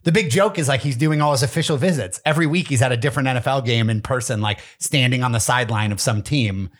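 A man's voice: mid-range voice, fast talker. A man co-hosting a casual podcast, teasing his partner and talking football in a laid-back way. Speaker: podcast co-host. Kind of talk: casual chat.

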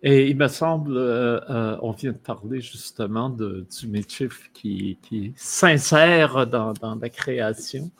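Hesitant slow-talking man. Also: mid-range voice, vocal fry, a bit bright. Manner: hesitant, many fillers, musing. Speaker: podcast host. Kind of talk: interview conversation.

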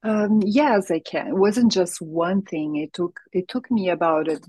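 A woman speaks English with a Brazilian Portuguese accent, her first language being Portuguese. Her voice sits low and a little husky, and it comes across dry and close. She talks in an earnest, reflective way, with frequent light laughter. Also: a little slow, a little mellow, no echo. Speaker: guest, holistic health coach. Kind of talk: casual interview chat.

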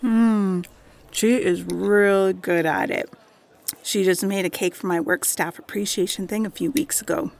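A woman's voice: mid-range voice, fairly even intonation, unhurried, a little mellow. A woman acting in an audio drama as a tender, nostalgic young woman speaking intimately of childhood friendship. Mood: warm, nostalgic. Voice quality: husky, breathy, creaky.

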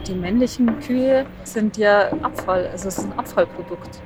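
A woman's voice: mid-range voice, normal speed. A woman speaking her opinion in a montage clip. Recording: loud music over the voice.

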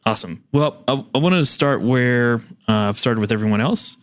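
A man's voice: medium pitch, moderate pace, clean tone. An American man from rural Kentucky, speaking as the host of an interview podcast. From rural Kentucky, USA. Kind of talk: podcast interview with scripted introduction.

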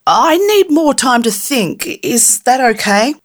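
A curious, cautious young girl , as a woman reading a story puts her on. She speaks a little higher than the narration, earnest and questioning, with a lively rise and fall. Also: slow, heavily acted.

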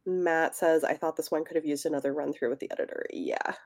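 A woman's voice: sits low, steady tone, fast, a little mellow. A woman co-hosting a podcast, discussing books casually in a tentative, meandering, conversational way. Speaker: podcast co-host.

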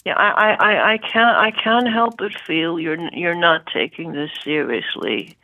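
Comedy sketch: fed-up ancient phoenix voiced by a woman, plaintive, dragging delivery, slightly low, lo-fi recording. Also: a little hiss or hum. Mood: aggrieved. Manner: slow, aggrieved, plaintive. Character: weary, resentful ancient phoenix familiar. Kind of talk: improvised comedy audio sketch.

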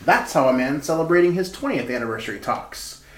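A man's voice: on the high side, animated, brisk, close to an everyday voice. A man playing a cheeky know-it-all hotel bellboy in a retro radio comedy, officious and theatrical. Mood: huffy. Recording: roomy, echoing amateur radio-play recording.

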